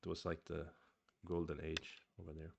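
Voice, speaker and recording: A man's voice: a bass voice, monotone, moderate pace, creaky, slight background noise. A man streaming a review of his own go games, thinking aloud in a casual chat with murmured asides and restarts; his first language is Swedish.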